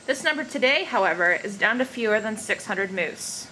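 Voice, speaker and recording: A woman's voice: medium pitch, lively intonation, moderate pace. A woman giving a scripted, documentary-style narration. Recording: noisy amateur recording with background ambience.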